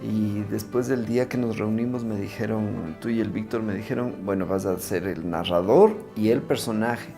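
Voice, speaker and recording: Man, mid-pitched with creaky edges, casual conversational interviewee, clear instrumental music behind. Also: moderate pace, few pauses. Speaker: radio actor.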